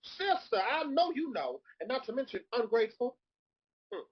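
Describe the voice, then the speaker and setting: Casting: male actor as sassy, gossipy drag queen, theatrical drawn-out lines, lively swoops, slightly raspy, dull recording. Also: extremely high pitch, exaggerated voice. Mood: flirty, gossipy. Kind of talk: comedic live table read. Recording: dull lo-fi audio.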